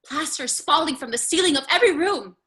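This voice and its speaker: A woman's voice: sits high, expressive, a little fast, sharp tone. A woman acting a kind, headstrong young lady of a wealthy house, sheltered and earnest, in a play reading. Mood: alarmed, defiant.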